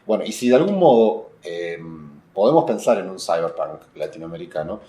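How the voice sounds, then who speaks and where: A man's voice: low-pitched, big pitch swings, moderate pace, warm tone. A man with a Uruguayan accent speaking as an interviewed writer, reflective and digressive, often searching for the right word. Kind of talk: conversational literary interview, intellectual discussion. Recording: roomy echo, noticeable background noise.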